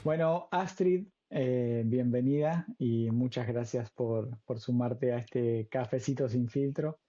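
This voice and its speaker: A man's voice: mid-range voice, slow pace, mellow, lo-fi sound. A man with an Argentine accent, hosting an informal interview in a casual, conversational way. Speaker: podcast host, interviewer.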